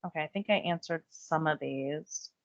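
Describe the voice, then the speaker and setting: A woman giving a chatty step-by-step software tutorial while losing her voice. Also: deep voice, normal speed, dry recording, highs cut off. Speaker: real estate software trainer. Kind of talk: screen-share software tutorial.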